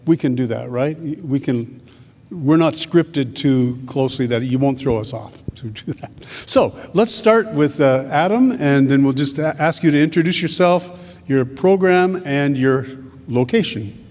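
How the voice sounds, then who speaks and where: A man's voice: medium pitch, animated, unhurried, a little mellow. Laid-back guy running a panel, chatty, echo of a big hall. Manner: easygoing, conversational. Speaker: panel moderator. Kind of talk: moderating a panel. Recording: hall reverb.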